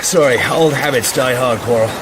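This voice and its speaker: An actor playing a cool, dry-witted British secret agent in a radio drama, terse and understated. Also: male voice, mid-range voice, moderate pace, natural voice. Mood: wry. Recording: faint background noise and ambience.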